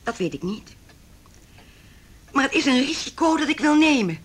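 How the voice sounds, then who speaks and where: A woman's voice: sits high, very animated, moderate pace. An actress playing a cold, wealthy middle-aged mother in a Dutch radio play, speaking in a formal, condescending, cutting way. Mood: calculating. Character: haughty, cold, wealthy mother opposing her son's marriage.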